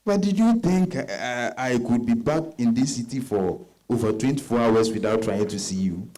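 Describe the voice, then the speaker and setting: Mellow coaxing West African man, an actor's role. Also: medium pitch, expressive, moderate pace, lightly acted, poor audio. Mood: flattering. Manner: hesitant, coaxing, earnest. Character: smooth-talking married suitor, hesitant yet insistent husband. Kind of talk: dramatized novel dialogue.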